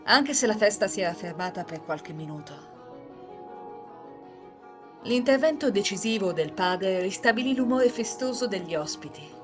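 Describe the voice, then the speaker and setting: A woman plays an enigmatic housemaid of an old villa, telling a visual novel story. Her delivery is formal and composed, at a medium pitch, with faint music underneath. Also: lively intonation, normal speed, close to an everyday voice. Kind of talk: scripted visual novel narration.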